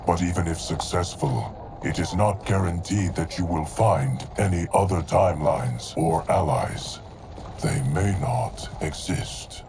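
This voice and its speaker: An actor playing a stoic ancient male guardian, deep and measured in a game cutscene. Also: noisy background. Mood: solemn. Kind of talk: video game cutscene acting. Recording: game audio with music behind.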